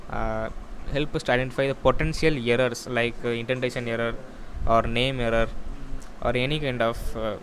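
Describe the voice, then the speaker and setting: A man giving an informal software demo walkthrough, explaining in a halting, repetitive way. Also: mid-range voice. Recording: clear steady background noise.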